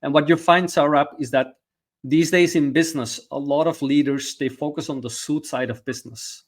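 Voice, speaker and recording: A man's voice: fairly high pitch, steady tone, moderate pace. A middle-aged man from Belgium, explaining his ideas as a podcast guest and coach. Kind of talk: explanatory interview talk.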